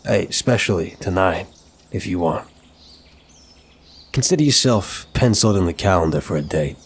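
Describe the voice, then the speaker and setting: A man playing an apologetic, flirtatious mafia boss in an intimate ASMR roleplay, speaking in a courteous, teasing, slightly halting way. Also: sits low, animated, slightly rough, exaggerated voice. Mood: flirtatious, relaxed.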